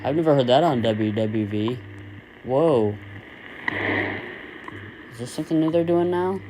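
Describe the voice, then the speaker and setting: A man's voice: mid-range voice, measured pace. A man hosting a casual live radio-listening session, thinking aloud informally. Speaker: livestream host, shortwave radio hobbyist. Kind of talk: casual live commentary while tuning a radio. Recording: loud radio sound behind the voice. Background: shortwave radio signals and noise.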